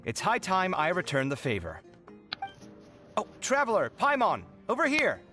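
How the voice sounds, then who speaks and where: A man playing an expressive, easily exasperated young man in a video game, theatrical in his delivery. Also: high voice, moderate pace, soft background music, heavily acted. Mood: assured, generous, then delighted. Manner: theatrical, grumbling.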